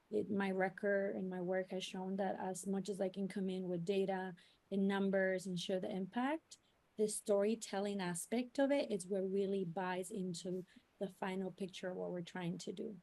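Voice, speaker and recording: A woman's voice: medium pitch, creaky, mellow. A woman raised in New York City, a youth civic organizer answering on a panel in an earnest, explanatory way with many fillers and restarts. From New York City, United States.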